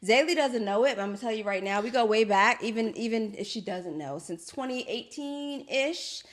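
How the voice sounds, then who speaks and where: Lively woman podcast host. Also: a little high, moderate pace. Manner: lively, colloquial, laughs often, affirming fillers. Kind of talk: casual interview chat.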